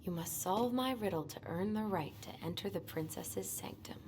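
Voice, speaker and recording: A woman's voice: medium pitch, moderate pace, a little mellow, close to an everyday voice. An actress as a young American woman, noisy audio. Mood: playful, coaxing. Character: practical, playful young woman, supportive sibling and puzzle lover. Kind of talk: video game dialogue acting. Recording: noisy streamed game audio.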